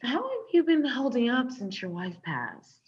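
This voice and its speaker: A woman's voice: fairly high pitch, huge pitch swings, unhurried, slightly rough, exaggerated voice. A woman playing a candid, placating character in a comedic table read, speaking conversationally, on a slightly dull recording. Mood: friendly, confiding.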